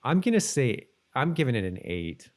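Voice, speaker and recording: A man's voice: mid-range voice, sing-song, moderate pace. Casual, rambling male podcast co-host. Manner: informal, rambling, slangy. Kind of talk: casual TV episode recap chat.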